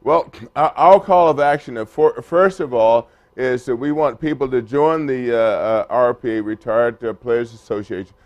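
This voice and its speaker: A man speaking at a press conference for a retired players group, halting, with many fillers and restarts. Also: medium pitch, no room sound. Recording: muffled lo-fi recording.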